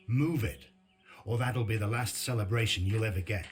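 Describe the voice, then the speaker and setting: An actor playing a stern, gruff male resistance commander in a video game cutscene, curt and commanding. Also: on the low side, moderate pace, soft background music, close to an everyday voice. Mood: impatient.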